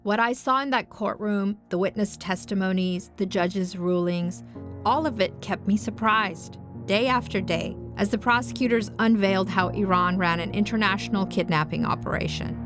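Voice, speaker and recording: A woman reporter from Afghanistan, a native Farsi speaker, narrating a documentary in a measured, serious way. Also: mid-range voice. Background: music.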